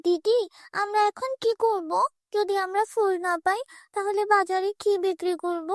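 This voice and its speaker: A very high, eager little girl played by a woman in a fairy-tale cartoon. She pleads and urges with wide-eyed keenness, recorded close and dry. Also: fairly even intonation, moderate pace, dry recording. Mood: worried, urging.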